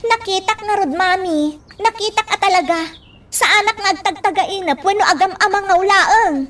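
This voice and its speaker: An actress playing an anxious, easily exasperated young daughter in a radio drama. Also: female voice, very high voice, moderate pace, a bit bright. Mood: insistent. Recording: faint noise, distant microphone.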